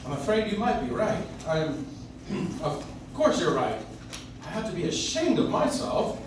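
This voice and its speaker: A man's voice: high-pitched, sing-song, a little slow. A man playing a kindly but easily indignant old man in a live staged radio play. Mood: dejected. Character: kindly, indignant old man believing himself Santa Claus. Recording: roomy, distant audience recording with noise.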